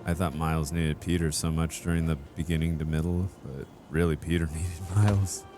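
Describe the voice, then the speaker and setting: A man's voice: a bass voice, little pitch movement, brisk, over music. A man hosting a reaction show, chatting casually about a game movie as he watches it.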